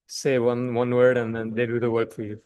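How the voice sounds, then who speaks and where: A man's voice: medium pitch, completely flat delivery, brisk, a little mellow, clean tone. A man hosting a casual video call. He talks in a loose, filler-heavy way. He mostly offers brief agreements and short opinions. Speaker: host.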